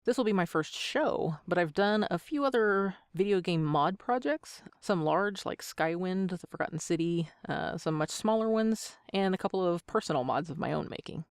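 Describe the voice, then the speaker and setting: A woman's voice: on the low side, expressive, normal speed, few pauses, clear, resonant tone, no room sound, studio quality. A woman in her thirties answering interview questions as an amateur voice actor, with a joking, self-deprecating manner. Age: 30s.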